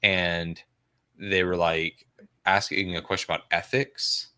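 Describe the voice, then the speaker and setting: A man's voice: deep voice, level tone, takes it slowly, pushed and bright, smooth. A man co-hosting a movie watch party with casual, slangy joking banter and some swearing.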